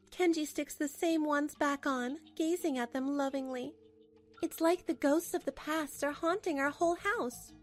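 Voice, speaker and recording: A woman's voice: sits high, close to an everyday voice. A woman playing a wronged, pragmatic wife who narrates her marriage troubles expressively in a manga dub. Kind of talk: dramatic first-person narration for a manga dub.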